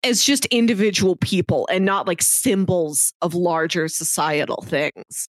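A woman's voice: lowish voice, unhurried, no echo. A woman co-hosting a podcast in a casual, conversational discussion style. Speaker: podcast co-host. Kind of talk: casual chat, film discussion.

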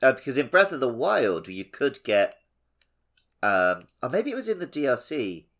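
A man's voice: mid-range voice, big pitch swings, normal speed, fairly bright tone. A man co-hosting a podcast, chatting casually in a tentative, conversational way. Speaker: podcast co-host. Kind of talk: casual chat.

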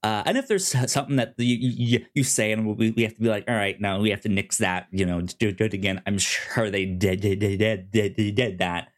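Halting man chatting on a review podcast. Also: on the low side. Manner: halting, stammering, often repeats words. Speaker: podcast co-host reviewing a TV show. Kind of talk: casual review chat.

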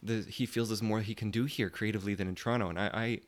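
Hesitant man with a lowish voice. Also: fairly even intonation, fast talker. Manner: hesitant, careful, diplomatic. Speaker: theatre guest. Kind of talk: casual panel discussion.

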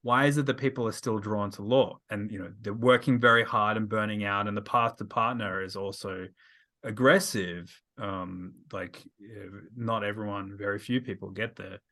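A man's voice: on the low side, steady tone, moderate pace, bright, forceful tone. A man hosting a casual podcast conversation, rambling with lots of fillers and restarts. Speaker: podcast host.

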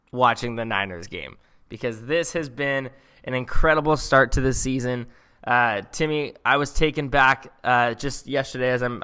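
Bright-voiced enthusiastic male co-host. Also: mid-range voice, clear, resonant tone. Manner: enthusiastic, rambling with restarts. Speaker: podcast co-host. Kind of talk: casual sports chat.

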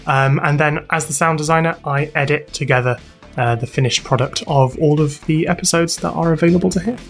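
A man's voice: fairly high pitch, brisk, creaky, fairly bright tone, soft background music. A British man giving thoughtful, slightly hesitant explanatory answers as an interview guest. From United Kingdom. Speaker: guest, podcast sound designer and composer.

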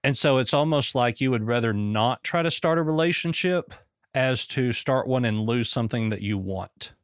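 A man coaching a guest in conversation, measured and probing, repeating his questions. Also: mid-range voice, animated, smooth, no echo, clean studio sound.

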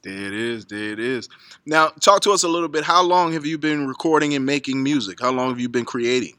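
A man's voice: medium pitch, fairly quick, pushed and bright, smooth, no echo, studio quality. A man hosting an interview show, upbeat and hyped, often repeating his questions. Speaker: radio show host.

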